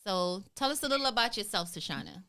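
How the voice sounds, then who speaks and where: A woman's voice: medium pitch, expressive, fast talker, bright, forceful tone. A woman hosting a podcast, chatting casually and teasing her guests playfully. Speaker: podcast host. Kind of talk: casual chat.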